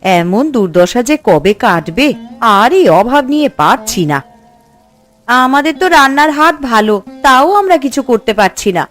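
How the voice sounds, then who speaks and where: A woman's voice: on the high side, lively intonation, moderate pace, fairly bright tone. A woman voicing a poor village housewife in a cartoon, with music behind her. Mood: downcast. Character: poor, worried village housewife who cooks. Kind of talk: cartoon voice acting.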